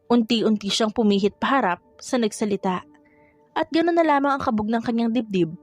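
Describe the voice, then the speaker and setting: Female audiobook narrator for a romance tale: higher register, dry recording, light musical bed. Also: fairly quick, no room sound, faint music behind. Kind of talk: scripted romance story narration.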